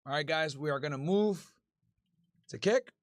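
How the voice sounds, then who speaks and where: A man's voice: on the high side, moderate pace, dry recording. Tired, laid-back man, dry close mic. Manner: laid-back, crude slang, tired, joking. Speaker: livestream host. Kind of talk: casual livestream chat reading super chats.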